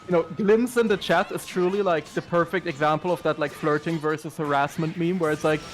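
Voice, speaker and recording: A man's voice: on the high side, fairly even intonation, a little fast, faint music behind, low-quality recording. A man doing casual live fighting-game commentary, laid-back and joking, with frequent swearing. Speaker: esports commentator.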